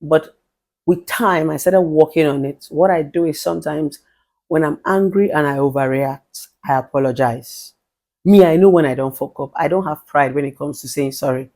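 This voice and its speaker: Forceful Nigerian woman giving marriage advice. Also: low-pitched, moderate pace. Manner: forceful, emphatic, mixes in Pidgin phrases. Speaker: relationship therapist. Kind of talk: motivational advice monologue.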